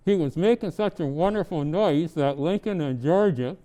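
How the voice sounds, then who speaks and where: A man's voice: a little high, normal speed, warm tone, rough recording. A man reading aloud as a storyteller in the role of Santa, chatting casually between pieces. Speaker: storyteller presenting as Santa Claus.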